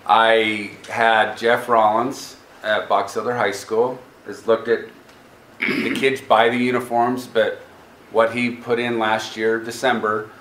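A man's voice: lowish voice, a bit bright. An American man giving an informal report and answering questions as a school district staff presenter at a meeting. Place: indoor public board meeting room. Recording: echoey meeting room, faint background noise.

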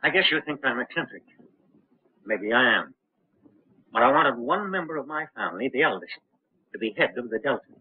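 An older actor playing a blunt, eccentric rich patriarch in a 1930s film. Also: male voice, medium pitch, lively intonation, brisk, long pauses. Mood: gruff. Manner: gruff, blunt, short sentences. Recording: tinny 1930s film soundtrack with faint hiss.

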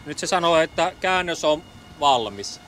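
A man's voice: a little high, lively intonation, fairly bright tone. Easygoing man narrating on a windy sailboat. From Finland. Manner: easygoing, thinking aloud with many fillers. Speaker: sailing vlogger. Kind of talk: casual narration to camera while sailing. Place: outdoors on a sailboat cockpit under a canopy. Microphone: action camera mounted on the boat. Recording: wind and water noise behind.

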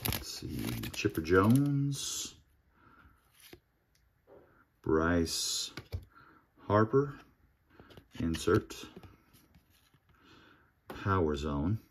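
A man with a deep, low voice talks slowly through a pack opening at his table. He reads out each pull in an easygoing, half-muttered way, as if to himself. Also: slight background noise. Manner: murmurs player names one by one, relaxed and unhurried. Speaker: card collector opening packs. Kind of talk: casual pack-opening commentary. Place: home table with card collection display.

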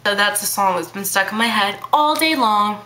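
A teenage girl vlogging to her webcam in her bedroom, talking fast and hyper in a childlike baby voice, full of fillers. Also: medium pitch, pushed and bright. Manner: bubbly, hyper, chatty with many fillers. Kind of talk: casual chat to camera. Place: small bedroom indoors. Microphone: webcam at arm's length.

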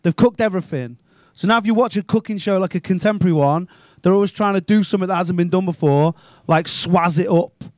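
A man doing an animated, crude stand-up set on a small comedy club stage into a handheld mic. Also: high voice, fast, no room sound. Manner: animated, crude, ranting and incredulous.

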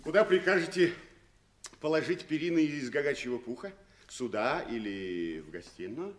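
A man's voice: a little high, lively intonation, moderate pace, close to an everyday voice. A man playing a good-humoured, protective vagabond with a folksy, mock-grand manner in a 1960s radio play. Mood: jovial.

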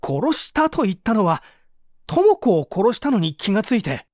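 A man's voice: sits high, sing-song, normal speed, darkish tone, dry recording, studio quality. A man playing an evasive, subdued painter who is under interrogation, hesitant and confessional, in a dramatised mystery reading. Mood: confused, pleading.

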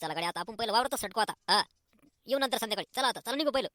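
A chatty, slippery cartoon villager played by a man with a rural Maharashtrian accent. The voice is very high and bright, rattling along quickly in colloquial, excitable speech. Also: dry recording. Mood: nervous, dodging. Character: chatty, evasive village men dodging tax collectors. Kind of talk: comic cartoon dialogue.

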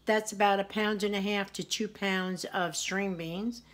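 A woman's voice: mid-range voice, fairly even intonation, measured pace. A woman in her forties hosting a casual home cooking video, chatty and homey as she prepares and tastes a salad in her kitchen. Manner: chatty, homey, tasting and praising the food as she goes. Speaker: home cooking show host. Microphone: camera on the counter at arm's length.

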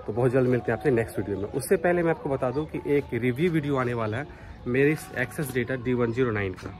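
A man's voice: medium pitch, fast talker. A man giving a casual live demonstration as a vlogger. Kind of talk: casual live demo commentary.

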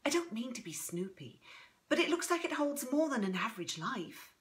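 Woman playing a higher-voiced, curious granddaughter. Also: big pitch swings, moderate pace. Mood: tactful curiosity. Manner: gentle, inquisitive. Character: curious, tactful adult granddaughter, affectionate and earnest.

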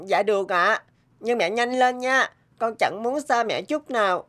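A woman's voice: fairly high pitch, measured pace, a bit bright. A woman voicing a sweet, brave, sick little boy in a cartoon drama, childlike, earnest and polite. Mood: affectionate. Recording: music bed behind the voice. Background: music.

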